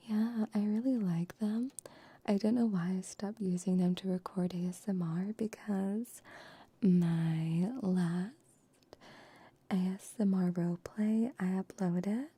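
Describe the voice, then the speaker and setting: Slow whispering young woman, husky. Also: medium pitch. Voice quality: soft whisper, mostly unvoiced. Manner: affectionate, teasing pet-play talk to viewers. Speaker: streamer. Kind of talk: whispered ASMR live stream chat. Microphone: shotgun microphones close to the mouth.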